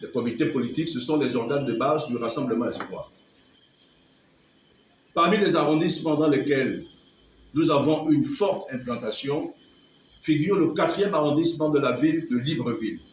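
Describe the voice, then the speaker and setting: Man, political orator, slightly higher voice, picked up from afar in an echoey space with faint ambience. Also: far from the mic, a little hiss or hum. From Gabon. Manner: oratorical, emphatic, measured pauses. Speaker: political movement leader. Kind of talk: public political speech.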